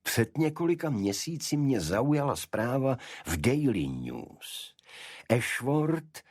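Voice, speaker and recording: A man voicing a shrewd, serious Victorian detective in an audiobook, speaking deliberately and pointedly. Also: mid-range voice, very animated, a little mellow, dry recording, clean studio sound, pitched above the performer's own voice. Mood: intrigued, deliberate.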